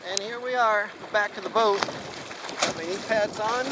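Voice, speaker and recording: A man's voice: high-pitched, normal speed. A middle-aged man sailing with his son on a small boat, cheerfully narrating and explaining as he goes. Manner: upbeat, explaining to his child. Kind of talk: casual narration while sailing. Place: outdoors on a small sailboat on a bay. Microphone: action camera on the boat. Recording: loud wind and water noise.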